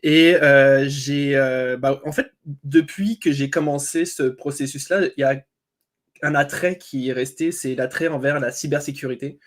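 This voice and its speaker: A man's voice: medium pitch, fairly even intonation, moderate pace. A man speaking as a guest, introducing himself in a casual, informal, self-deprecating conversational way. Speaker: guest, web agency owner.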